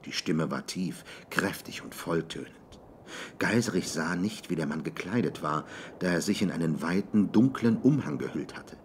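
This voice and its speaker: Male audiobook narrator, low voice, dramatic adventure storytelling, faint background noise. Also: expressive, normal speed.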